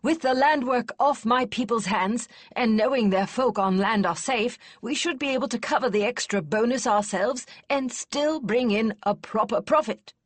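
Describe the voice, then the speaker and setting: A woman booming as a blunt old noblewoman. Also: moderate pace, few pauses, no echo, close to an everyday voice. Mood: hearty. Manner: booming, teasing, emphatic. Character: gruff blunt older noblewoman, loud and hearty. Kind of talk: audiobook character voice.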